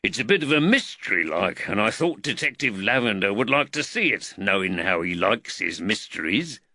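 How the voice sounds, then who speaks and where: Working-class male constable acted by a male narrator, colloquial, hearty, unhurried, lively intonation, dry close sound, audiobook. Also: fairly low pitch, no echo, full character voice. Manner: colloquial, unhurried, plain-spoken. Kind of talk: audiobook character dialogue.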